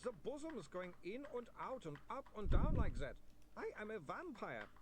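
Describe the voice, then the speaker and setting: A man voicing a nervous young male vampire who is polite, anxious and pleading, with loud keyboard typing behind. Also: high voice, very animated, normal speed, very noisy, pitched above the performer's own voice. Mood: desperate. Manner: anxious, pleading, overly formal.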